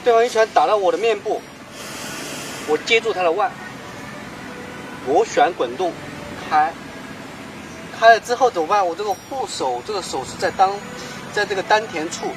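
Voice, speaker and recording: Animated man explaining self-defense moves outdoors. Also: high-pitched. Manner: animated, emphatic, rhetorical questions. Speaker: martial arts instructor. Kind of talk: demonstration explanation. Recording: outdoor clip with traffic noise.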